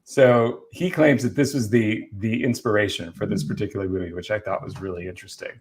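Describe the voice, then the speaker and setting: A man's voice: on the low side, fairly even intonation, fairly quick. A man hosting a casual panel chat about films, explaining trivia with enthusiasm. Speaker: film talk show host presenting movie trivia.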